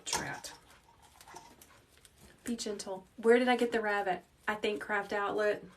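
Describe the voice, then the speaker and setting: A woman in her thirties hosting a live wreath-making tutorial, chatting calmly with viewers in a soft teacherly way. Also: medium pitch. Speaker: wreath-making livestream host. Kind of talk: casual live craft tutorial. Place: small indoor craft workroom. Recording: slightly distant mic with faint noise.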